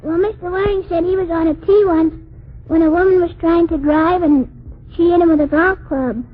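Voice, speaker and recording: A man's voice: very high voice, fairly even intonation, normal speed, pitched above the performer's own voice. A man voicing a mischievous, literal-minded little girl with innocent, cheeky comebacks in a 1940s radio comedy act. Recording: tinny, hissy 1940s radio broadcast.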